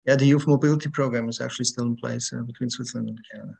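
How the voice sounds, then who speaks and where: A man's voice: mid-range voice, fairly even intonation, very fast, vocal fry, darkish tone, breathy edge. A man interviewing a guest, asking long, friendly questions with fillers.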